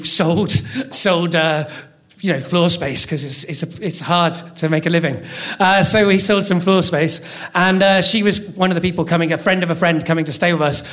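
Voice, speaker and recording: Male compere from England, high-pitched, halting and self-mocking on stage. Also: fairly bright tone. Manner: halting, stammering restarts, self-deprecating. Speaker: comedy night host. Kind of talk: live stand-up compering. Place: comedy venue stage.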